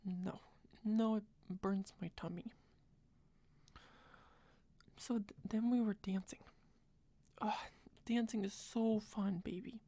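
A young woman doing a soft, close-mic whispered girlfriend roleplay, rambling and doting, a little tipsy. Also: mid-range voice, fairly even intonation, slow pace, long pauses, dry recording. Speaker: ASMR roleplayer.

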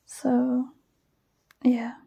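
A shy young Dutch-accented woman talks in a soft, breathy whisper close to the mic, her voice dark and gravelly at the edges. She speaks slowly and hesitantly, with nervous giggles and a flat, even tone. The recording is dry and intimate. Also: fairly high pitch, dry recording. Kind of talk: whispered personal ramble, ASMR-like. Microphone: close microphone.

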